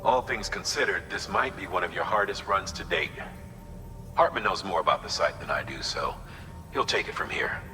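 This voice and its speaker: Long reverb and clear music surround a solemn commander in a video game. His voice is deep and authoritative, giving measured, formal instructions. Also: animated. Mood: grave briefing. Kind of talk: video game cutscene acting.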